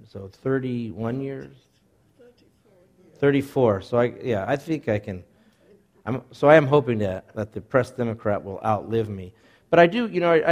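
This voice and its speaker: A man's voice: medium pitch, a little mellow. A middle-aged man, a newspaper columnist, chatting and rambling as he takes questions from an audience, laughing often. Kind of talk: casual Q&A talk with audience.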